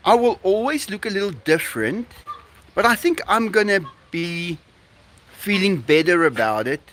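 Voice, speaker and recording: A man's voice: sits high, animated, normal speed, a bit bright, slight background noise. A man from South Africa with an Afrikaans accent, chatting casually on his live stream, laughing often and playing with his dogs. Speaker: livestreamer.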